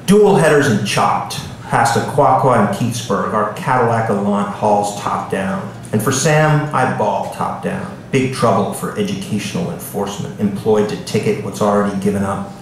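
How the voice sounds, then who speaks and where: A man's voice: on the low side, normal speed, hardly any pauses. A man, an author, giving a live literary reading at a bookstore with casual asides to the audience. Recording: echoey room, distant mic, background noise.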